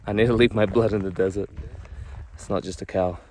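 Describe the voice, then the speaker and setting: A man's voice: lowish voice, fairly even intonation, a little fast, a little mellow, a little hiss or hum. A man hosting a vlog, speaking reflectively to camera while thinking aloud. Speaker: vlog host.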